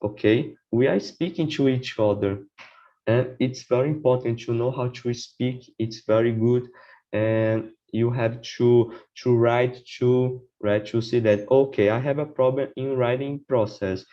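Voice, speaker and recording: A man's voice: mid-range voice, fairly even intonation, lo-fi sound. A man, a podcast guest in casual conversation, who often restarts his sentences and keeps checking in with "right?". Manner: halting, many restarts, repeats "right?".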